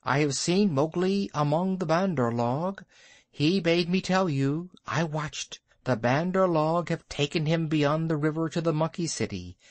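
A dutiful kite bird calls down in a high, lifted voice, formal and earnest, with lively swoops of pitch, as a man puts him on in a storybook reading. The delivery is unhurried and the sound is close and dry. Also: dry recording.

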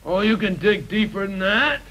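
A man's voice: high voice, expressive, normal speed, bright, forceful tone, full character voice. A man voicing a mean, bossy, scheming monster puppet in a children's educational show, dismissive and goading. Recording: noisy old 1960s film soundtrack.

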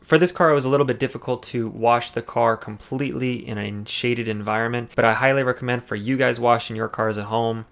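A man's voice: medium pitch, creaky, a bit bright. A man narrating a car detailing video as voice-over, explaining each cleaning step and giving tips. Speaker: car detailing channel host.

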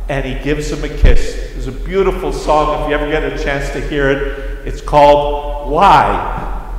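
A middle-aged priest with a Brooklyn accent touched by an Irish lilt, preaching a sermon in a large church and telling the story with acted-out voices and humorous asides. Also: male voice, fairly high pitch, measured pace. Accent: Brooklyn with a slight Irish lilt. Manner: storytelling, acting out voices, self-deprecating asides. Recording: echoey church hall.

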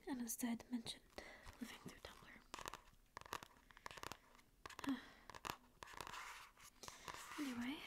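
A woman voicing a centuries-old sleep paralysis demon in a whispered ASMR roleplay, snarky and angsty at first, then softly reassuring, close to the mic. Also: high voice, natural voice. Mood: mock angsty. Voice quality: breathy whisper. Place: dim indoor room with string lights. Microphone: close studio mic on camera.

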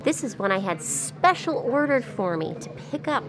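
A hoarse young woman chatting to a handheld camera in a casual, excitable vlog. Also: fairly high pitch, normal speed, music in the background. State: hoarse from shouting. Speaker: vlogger. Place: hotel room, then airport. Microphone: handheld camera at arm's length.

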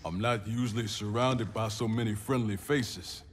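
A man's voice: on the low side, fairly even intonation, normal speed, lightly acted. An actor playing a protective, desperate soldier father in a video game. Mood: wary. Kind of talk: video game cutscene acting. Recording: loud music behind.

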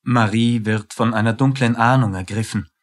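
A man's voice: medium pitch, steady tone, moderate pace. A man narrating a scripted radio play in a sober, matter-of-fact manner.

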